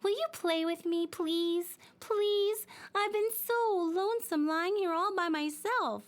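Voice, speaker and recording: Woman voicing an eager, high-pitched little talking dreidel. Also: slow. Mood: lonesome, pleading. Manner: pleading, exclaiming, sing-song. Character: small lonesome talking dreidel, male, childlike, eager and playful.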